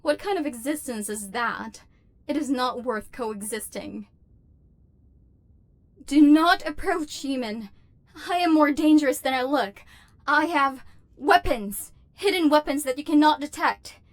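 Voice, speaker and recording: A haughty female robot overlord, acted by a woman for an ASMR roleplay. Her voice sits fairly high. She speaks in formal, clipped, machine-like phrases, with a commanding, human-hating villain's temper. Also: lively intonation, unhurried, no echo. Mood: guarded, dismissive, then alarmed, defensive.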